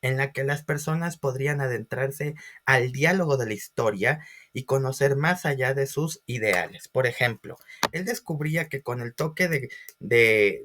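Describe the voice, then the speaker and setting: A man presenting an informal online class as a teacher, expressive and speaking directly to his listeners. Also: mid-range voice. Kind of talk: informal educational lesson for video.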